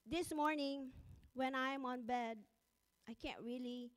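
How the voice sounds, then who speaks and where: A woman's voice: high voice, fairly even intonation, takes it slowly. A middle-aged woman pastor with a Filipino accent, whose first language is Ilocano, preaching a church sermon with nervous laughter and fervent prayer.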